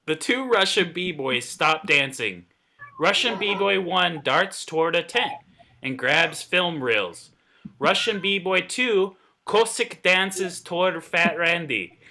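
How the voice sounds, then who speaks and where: A man reads screenplay narration in a very bright, forceful voice, pitched a little high. He sounds like he is at an informal read-through, stumbling now and then. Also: animated, slow, vocal fry. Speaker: table reader of script narration and dialogue. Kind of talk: scripted narration read aloud.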